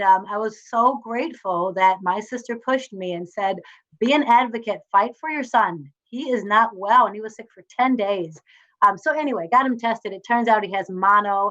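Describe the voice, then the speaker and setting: A woman's voice: mid-range voice, fairly bright tone. Middle-aged woman panelist, heartfelt and earnest storyteller, slightly muffled audio. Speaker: entrepreneur, panel guest.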